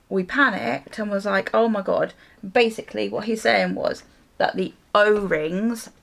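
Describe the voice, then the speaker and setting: A woman's voice: mid-range voice, creaky, fairly bright tone. A British woman vlogger chatting casually through a story, exasperated and sweary, acting out both sides of conversations. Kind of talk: casual chatty storytime while getting ready.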